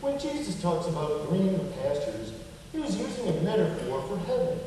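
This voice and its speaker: High, animated male puppet a man acting, kindly, patient, earnest explainer, church puppet show, distant echoing hall. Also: normal speed. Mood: patient, explaining. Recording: echoey hall from far off, faint noise.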